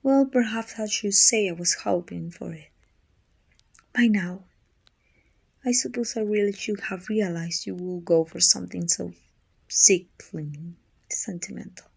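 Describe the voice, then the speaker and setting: A woman playing a dominant, haughty evil queen with a soft spot, musing imperiously in an ASMR roleplay. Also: mid-range voice, unhurried, long pauses. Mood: relieved, grudgingly fond. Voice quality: husky, breathy with whispered edges. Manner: imperious, musing, teasing condescension. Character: dominant, haughty evil queen softening toward her little helper.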